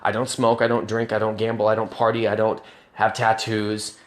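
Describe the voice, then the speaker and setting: A man's voice: fairly low pitch, completely flat delivery, normal speed. Earnest young man with emphatic bursts. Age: 20s. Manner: conversational with restarts and self-corrections. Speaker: vlogger. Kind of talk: personal finance vlog over slides.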